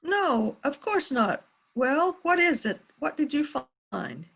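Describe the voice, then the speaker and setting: High, slow woman acting a composed housewife. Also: sing-song, creaky, a bit bright, full character voice, low-quality recording. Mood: polite. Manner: unhurried, mannered, politely composed. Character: polite, outwardly innocent housewife, secretly a murderer. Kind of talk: amateur readers theater rehearsal.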